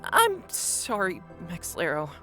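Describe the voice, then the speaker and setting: A woman's voice: fairly low pitch, huge pitch swings, takes it slowly, bright, forceful tone, faint music behind, full character voice. A woman playing a determined, earnest young student in a dramatic roleplay. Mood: polite, deflated. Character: determined, earnest young academy student seeking revival spell. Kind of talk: Minecraft roleplay voice acting.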